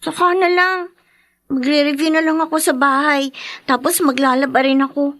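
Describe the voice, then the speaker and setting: An actress playing a weary, dutiful young Filipino daughter in a radio drama. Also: female voice, high-pitched, steady tone, normal speed, fairly bright tone, no echo, studio quality. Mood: casual, guarded.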